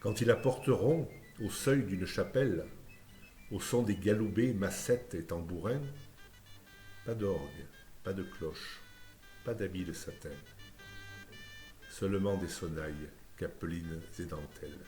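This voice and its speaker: Low-pitched male voice, poetry recitation, lyrical measured phrasing, unhurried pace, music in the background. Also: mellow.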